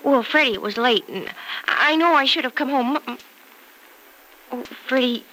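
A woman's voice: high voice, expressive, unhurried, bright, forceful tone, exaggerated voice. An actress playing an earnest, love-struck, girlish young woman in an old-time radio drama, with a breathless, wistful delivery. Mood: nervous, eager. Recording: noisy old radio recording.

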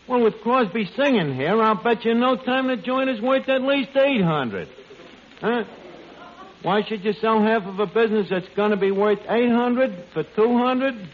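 An actor playing a brash, wheedling bar manager with hesitant, deadpan wisecracks in a 1940s radio comedy. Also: male voice, high-pitched, normal speed. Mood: wheedling, hedging. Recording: muffled, noisy old 1940s radio broadcast.

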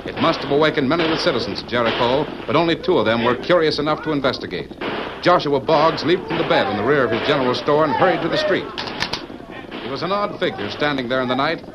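A man's voice: on the high side, animated, fast talker. A man narrating a radio adventure in a dramatic, declamatory 1940s style. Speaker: announcer and narrator. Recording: hissy vintage radio recording.

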